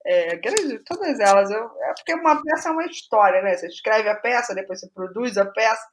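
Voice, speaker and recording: A woman's voice: fairly high pitch, lively intonation, fairly quick, slight background noise. A Brazilian woman being interviewed as a playwright, talking casually and reflectively, thinking aloud and wandering into digressions.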